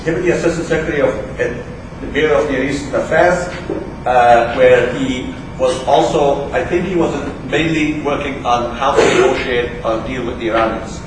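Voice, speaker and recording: A man whose first language is Persian, introducing a guest speaker at a lecture in an unscripted way, halting, with many fillers and restarts. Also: medium pitch, background noise. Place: large lecture hall. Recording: echoey hall, mic far off.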